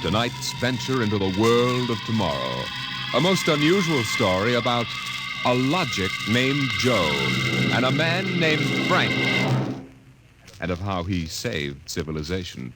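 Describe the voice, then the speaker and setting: A man's voice: medium pitch, sing-song, measured pace, loud music behind the voice. A man doing formal, portentous scripted announcing in the style of 1950s radio drama. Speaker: program announcer.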